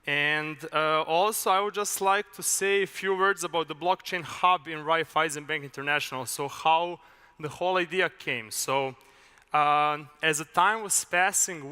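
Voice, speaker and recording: A young man from Serbia, giving a measured, explanatory conference talk with a few filler uhs. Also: fairly high pitch, pushed and bright, clear, resonant tone. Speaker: conference speaker. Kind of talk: conference presentation. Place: large conference hall. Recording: reverberant hall.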